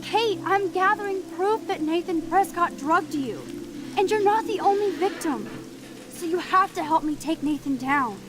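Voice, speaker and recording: A woman's voice: very high voice, lively intonation, normal speed. A woman playing an earnest, determined teenage schoolgirl coaxing a friend in a video game drama. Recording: clearly noisy, rain pattering behind.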